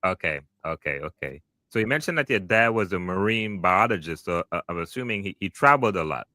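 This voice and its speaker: A man hosts a podcast with a low, bright and forceful voice. He sounds eager and talks in casual bursts. The sound is dry and close, with little room echo. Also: lively intonation, fairly quick. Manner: enthusiastic, repeats words like "wow" and "okay". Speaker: podcast host. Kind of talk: casual interview chat.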